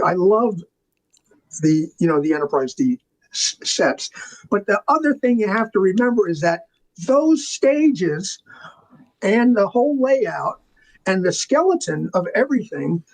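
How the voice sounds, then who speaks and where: A man's voice: high voice, vocal fry, low-quality recording. An older man chatting casually as an interview guest, telling rambling, enthusiastic stories about his working life. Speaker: interview guest, film makeup artist and art department veteran.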